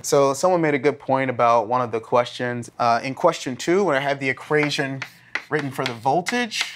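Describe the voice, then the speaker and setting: A man's voice: medium pitch, moderate pace, background noise, close to an everyday voice. An American man in his thirties playing a blunt, earnest graduate teaching assistant instructing a class in a casual, plain-spoken way. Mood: instructive. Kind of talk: classroom instruction, acted dramatization. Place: classroom lab, indoors.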